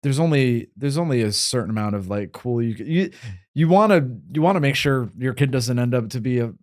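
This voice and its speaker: A man's voice: medium pitch, lively intonation, a little fast, darkish tone, no echo, studio quality. Laid-back New York man hosting. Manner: laid-back, rambling, self-mocking storyteller. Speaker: podcast host. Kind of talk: casual podcast chat.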